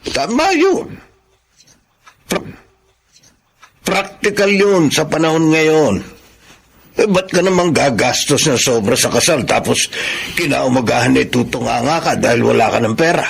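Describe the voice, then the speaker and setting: A man playing a practical older uncle in a radio drama, gravelly and husky, giving measured fatherly advice. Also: a little high, lively intonation, heavily acted. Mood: fatherly, solemn. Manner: measured, advising.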